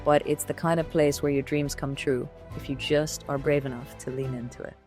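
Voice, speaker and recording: A woman's voice: deep voice, a little fast, soft background music. A woman in her thirties, a radio presenter whose first language is Italian, chatting and telling stories as a podcast guest. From Italy. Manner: chatty, animated storyteller. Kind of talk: casual interview chat.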